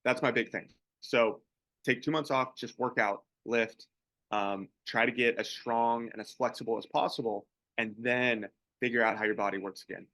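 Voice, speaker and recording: A young man who plays pro baseball, co-hosting a podcast and chatting in a relaxed, rambling way. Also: medium pitch, moderate pace, no room sound, poor audio. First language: English. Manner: relaxed, rambling, many restarts. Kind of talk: casual podcast chat.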